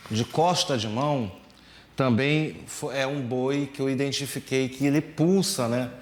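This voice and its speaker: A man explaining percussion rhythms in a slow, hesitant teaching manner, full of fillers and tag questions. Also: mid-range voice, a little hiss or hum. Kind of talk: relaxed music lesson explanation. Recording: echoey room.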